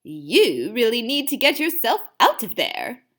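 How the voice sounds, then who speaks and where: A woman voicing an aloof, scheming female house cat in a children's storybook, taunting and insinuating with drawn-out phrases. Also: a little high, sing-song, a bit bright, exaggerated voice. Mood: sly, tempting. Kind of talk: children's storybook read-aloud.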